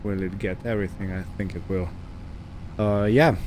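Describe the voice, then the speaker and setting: A man's voice: low-pitched, fairly even intonation, moderate pace, background noise. A man giving casual, musing gameplay commentary, hesitant and thinking aloud as he goes. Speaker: gaming video host.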